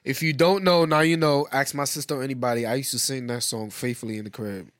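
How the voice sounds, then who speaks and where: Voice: mid-range voice, fairly even intonation, fast talker, no room sound. A podcast co-host in casual music chat, laid-back and teasing, laughing often.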